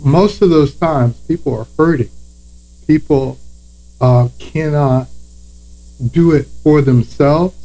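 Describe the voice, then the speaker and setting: A man, an attorney, hosting a podcast and speaking in deliberate, measured, list-like phrases. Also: on the high side, warm tone, low-quality recording. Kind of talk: podcast talk.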